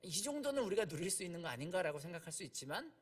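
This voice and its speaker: A man's voice: high voice, big pitch swings, racing, breathy edge. A man preaching a conversational sermon, explaining as he goes, repeating key points and posing rhetorical questions.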